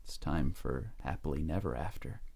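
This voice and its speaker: Casting: man playing sinister, seductive demon lover for audio drama, very low, husky voice. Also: lively intonation, normal speed, warm tone, heavily acted. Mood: cold, beckoning. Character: grim, seductive male demon lover, the Rose King.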